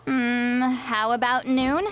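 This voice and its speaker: A woman's voice: a little high, flat delivery, slow pace, a bit bright, slight background noise. A woman playing a haughty, prickly ancient shaman with a secret geeky streak in an anime video game, given to bursts of enthusiasm. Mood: aloof. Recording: music clearly playing behind the voice.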